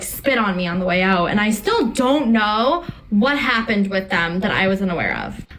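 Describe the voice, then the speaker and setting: A woman's voice: on the high side, poor audio. A woman telling a casual first-person story as a restaurant server, with a wry, exaggerating way of talking.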